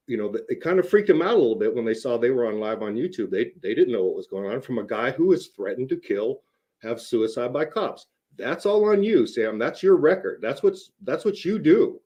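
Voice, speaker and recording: A man's voice: mid-range voice, darkish tone. A man speaking off the cuff in a blunt, emphatic rant, insistently repeating himself for stress and swearing casually. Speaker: online video host. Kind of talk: unscripted rant to camera, addressing a rival.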